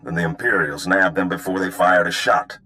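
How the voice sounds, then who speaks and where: An actor playing a sly, easygoing underworld operative giving briefings in a video game. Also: male voice, sits low, steady tone, brisk, bright, forceful tone. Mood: briefing, wry.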